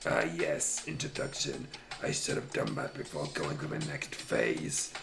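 Guy doing a low hushed villain boss, cold and bossy, faint music underneath. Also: wildly swooping pitch, measured pace, gravelly, full character voice. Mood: cold menace. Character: menacing villainous male lion warrior leader.

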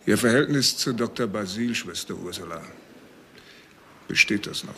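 An actor playing a matter-of-fact police investigator in a radio crime drama, asking terse questions. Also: male voice, lowish voice, moderate pace, bright, forceful tone. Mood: probing. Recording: faint background noise.

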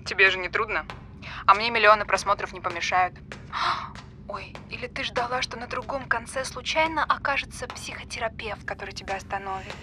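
Bright-voiced, callous actress as a flippant woman. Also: medium pitch, fairly quick, a little hiss or hum, close to an everyday voice. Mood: callous, mocking. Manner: mocking, breezy. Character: callous, flippant, fame-hungry woman on a phone call. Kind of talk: audio drama phone dialogue.

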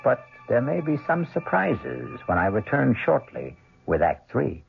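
A man playing the ominous, deliberate host of a 1970s radio mystery drama, with a gravelly voice. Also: medium pitch, very animated, exaggerated voice. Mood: ominous, measured. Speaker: host and narrator. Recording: tinny restored 1970s radio broadcast. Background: music.

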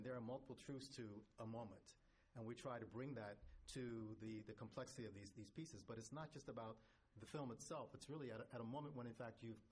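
A man's voice: mid-range voice, darkish tone, a little hiss or hum. A man speaking as a panelist, answering an audience question in a reflective way and often repeating words as he thinks aloud. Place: conference panel stage.

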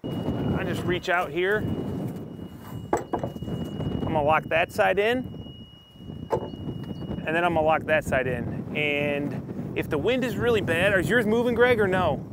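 A man's voice: high voice, takes it slowly, long pauses. Man narrating a build outdoors in wind. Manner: relaxed, explanatory, chuckles often. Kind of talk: casual on-site narration. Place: outdoors on a lift at a windy build site. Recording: windy outdoor site noise. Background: wind and machinery.

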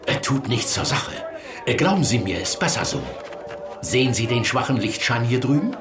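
A man's voice: mid-range voice, big pitch swings, normal speed, close to an everyday voice. Wildly swooping, shifty go-between played by a man. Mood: furtive. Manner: hesitant, evasive, clipped. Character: shifty, deferential go-between in a seedy pub. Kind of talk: German audio drama acting. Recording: music behind the voice.

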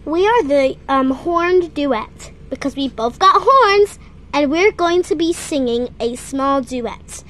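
A woman's voice: high voice, animated, slow pace, a bit bright, slight background noise, exaggerated voice. A woman voicing a friendly pair of horned toy singers, with a shy, hesitant delivery close to her own voice.

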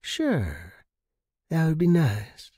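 A man voicing a coy, coldly seductive woman pilot with quiet menace in an audiobook. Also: a little high, wildly swooping pitch, extremely slow, husky, dry recording, studio quality, pitched above the performer's own voice, heavily acted. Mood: coolly agreeable. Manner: coy, curt. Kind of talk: audiobook character dialogue.

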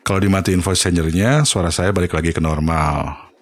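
A man giving an informal, step-by-step software tutorial. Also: sits low, steady tone, normal speed, no room sound. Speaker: tutorial host.

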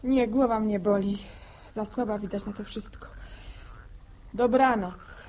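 A woman's voice: mid-range voice, fairly even intonation, measured pace, a little mellow, a little hiss or hum, lightly acted. An actress playing a stubborn, hurt young village woman in a 1960s radio drama, speaking in curt rustic phrases. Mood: weary, curt. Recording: old 1960 radio broadcast recording.

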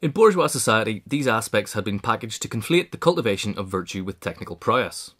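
A man's voice: fairly low pitch, sing-song, quick pace, fairly bright tone. A man narrator reading a scripted biographical essay aloud. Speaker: narrator, reader.

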